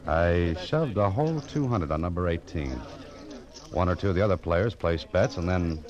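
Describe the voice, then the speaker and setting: An actor playing a world-weary, wry American private detective in a deadpan radio noir style. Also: male voice, low voice, normal speed, crowd noise. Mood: wry suspense. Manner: dry, deadpan, wisecracking. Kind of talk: 1940s-50s radio noir narration and dialogue. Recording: muffled vintage radio recording.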